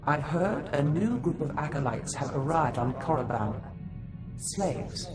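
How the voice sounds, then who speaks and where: A scheming dark mentor played by an actor in a video game. The delivery is formal and measured at a middling pitch. Music is clearly audible underneath. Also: lively intonation, natural voice. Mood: calculating. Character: scheming, counselling Sith mentor. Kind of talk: video game cutscene acting.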